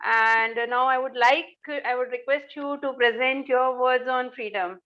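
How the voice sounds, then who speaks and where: Woman, Indian accent, high voice, polite formal host, hesitant repeated phrasing, dull video-call sound. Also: steady tone, measured pace, fairly bright tone. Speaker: host of an online poetry gathering. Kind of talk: formal event hosting, introducing participants.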